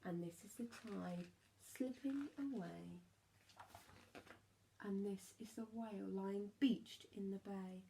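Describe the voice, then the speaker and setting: Slow-paced woman reading a rhyming storybook. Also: mid-range voice, gravelly, slight background noise, rough recording. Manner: expressive, emphatic on rhymes and key words. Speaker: primary school teacher reading a story. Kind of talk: children's storybook narration in rhyme.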